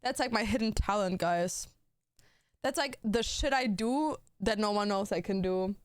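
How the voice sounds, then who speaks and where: A woman's voice: medium pitch, a little slow, clean tone, no room sound, studio quality. A young woman streaming, chatting casually, swearing a lot and saying "like" and "bro" constantly. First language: German. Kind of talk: casual chat on a gaming stream.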